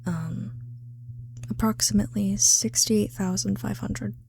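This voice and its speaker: A tired woman talks slowly in an ASMR style, drifting between soft speech and whispers. Her voice has a marked creak and sits at an average pitch. She rambles casually to her viewers, amused at herself and loose with swearing. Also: fairly even intonation, slight background noise. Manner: self-amused, giggly, freely swearing. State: tired, late at night. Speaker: ASMR creator.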